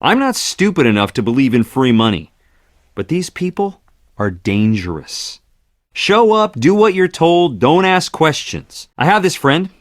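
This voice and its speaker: A man's voice: on the high side, sing-song, unhurried, no room sound, studio quality. An actor playing a nervous young man who is in over his head, earnest and anxious, in a video game.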